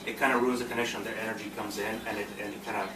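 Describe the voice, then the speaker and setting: A man in a group chat, quickly agreeing and explaining. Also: fairly low pitch, flat delivery. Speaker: group member. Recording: echoey room from a distance, noise.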